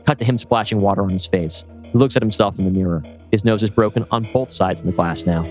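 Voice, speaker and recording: A man's voice: lowish voice, fast, a little mellow. A man reading screenplay action as scripted narration, with a dramatic, suspenseful delivery over music. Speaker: podcast host reading his script.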